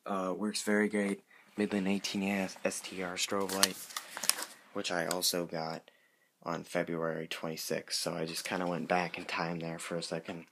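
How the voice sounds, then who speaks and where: A man presenting his hobby in a casual, unscripted show-and-tell, halting and correcting himself as he goes. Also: sits low, flat delivery. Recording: clearly noisy amateur home recording.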